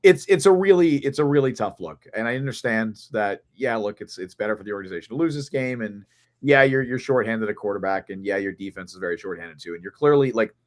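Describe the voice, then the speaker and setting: A man with a hoarse, raspy voice from losing it, co-hosting a sports podcast and thinking aloud in long, earnest run-on stretches. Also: lowish voice, expressive, fast talker. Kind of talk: casual sports analysis chat.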